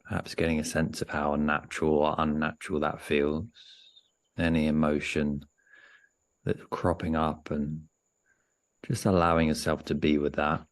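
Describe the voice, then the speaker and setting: A man with an extremely low voice guides a meditation. His intonation stays very level and even. He speaks gently and without hurry, leaving frequent pauses partway through his sentences. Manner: gentle, unhurried, pausing often mid-sentence.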